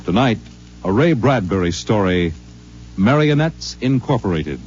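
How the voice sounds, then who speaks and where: A male announcer with a measured, dramatic delivery, in a formal radio announcing style. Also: lowish voice, very animated, a little mellow, a little hiss or hum. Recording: dull 1950s radio broadcast with music.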